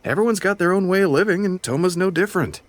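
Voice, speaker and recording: A man's voice: sits high, quick pace, dry recording, natural voice. An actor playing a tolerant, fair-minded male staff member in a video game, matter-of-fact in manner. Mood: reasonable.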